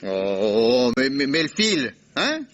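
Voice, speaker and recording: An actor in an old radio drama playing a skeptical police inspector who is gruff and exclamatory. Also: male voice, mid-range voice, sing-song, slow pace, fairly bright tone, slight background noise. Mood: incredulous. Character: skeptical, slow-witted male police inspector. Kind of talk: 1960s French radio drama acting. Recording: dull old radio broadcast recording.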